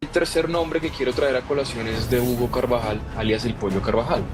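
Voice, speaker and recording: A man presenting a news segment in a deliberate, formal way. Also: mid-range voice. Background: music bed.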